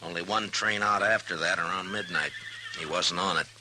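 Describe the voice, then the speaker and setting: An actor playing a calm, quietly authoritative marshal of the Old West, with an American accent and a measured, polite manner, in 1950s radio western style. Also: male voice, sits low, noisy background, close to an everyday voice. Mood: quiet, businesslike. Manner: measured, polite, understated, hesitant phrasing. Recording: old radio recording with music.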